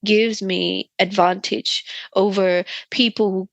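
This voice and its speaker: Animated Australian-accented woman guest. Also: medium pitch, slow pace, creaky, dry recording, muffled recording. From Myanmar. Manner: animated storytelling, laughing often. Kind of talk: casual interview talk.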